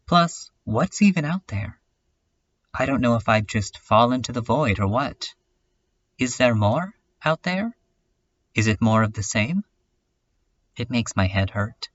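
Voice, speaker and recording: A man's voice: mid-range voice, a bit bright, muffled recording. A man voicing a lonely, weary cartoon girl in a reflective, wry, melancholic first-person monologue, as in a fan dub. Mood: anxious, bleak.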